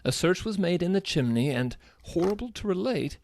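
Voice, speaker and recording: Animated, high-voiced gentleman companion. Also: normal speed, creaky. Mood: horrified. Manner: theatrical, emphatic newspaper-reading delivery. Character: astonished gentleman companion to a brilliant detective. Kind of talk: live radio drama acting.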